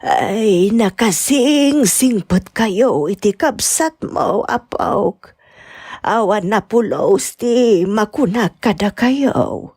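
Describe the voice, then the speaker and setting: A woman's voice: mid-range voice, animated, very slow, darkish tone, breathy edge, no room sound, clean studio sound, exaggerated voice. An actress performing a tender family scene between an elderly grandmother and her granddaughter in an Ilocano radio drama.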